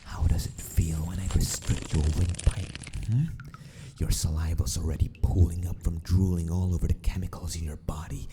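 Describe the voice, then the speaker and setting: A man playing a sadistic, possessive mad scientist in an ASMR roleplay, gloating and teasing with mock courtesy in a husky near-whisper. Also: low-pitched, flat delivery, moderate pace, dark tone, a little hiss or hum, lightly acted. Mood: sadistic, mock-tender.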